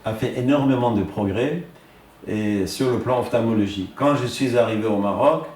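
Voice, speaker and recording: A man's voice: mid-range voice, moderate pace, darkish tone, slightly rough. An older man from Iran, in his sixties or above, speaks as an eye doctor, explaining things didactically in an interview. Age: 60s or older. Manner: didactic, asks and answers his own questions. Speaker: ophthalmologist. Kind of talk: explanatory interview talk, presenting his work and equipment.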